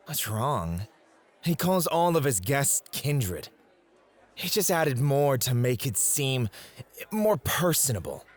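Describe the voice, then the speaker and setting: A man playing a devoted, competitive and possessive club host in an intimate, teasing ASMR roleplay. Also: medium pitch. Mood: jealous, pouty.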